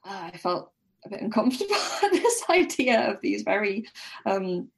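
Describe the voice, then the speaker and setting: Creaky-voiced woman chatting as podcast guest. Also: mid-range voice, sing-song, moderate pace. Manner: tentative, agreeable, chuckly. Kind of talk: casual book discussion.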